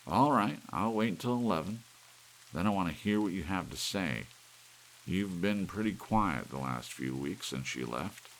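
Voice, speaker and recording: A man's voice: sits low, animated, normal speed, pitched above the performer's own voice, low-quality recording. A man voicing a bitter, menacing husband in an audiobook, demanding and taunting, with rain and hiss behind him. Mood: angry, insistent. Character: bitter, menacing husband talking angrily to his clock.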